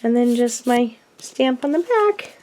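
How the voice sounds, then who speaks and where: A woman with a cold and a hoarse voice, chatting casually as she shows her handmade cards, with frequent ums and self-corrections. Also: on the high side, animated, a little slow, mellow, slight background noise. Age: adult. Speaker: card-making vlogger. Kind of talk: casual craft show-and-tell.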